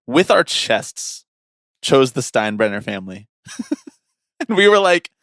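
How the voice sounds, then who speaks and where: A man's voice: mid-range voice, very animated, a little slow, fairly bright tone, dry recording, clean studio sound. A man co-hosting a podcast in casual banter, wry and colloquial, often prodding with questions. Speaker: podcast co-host.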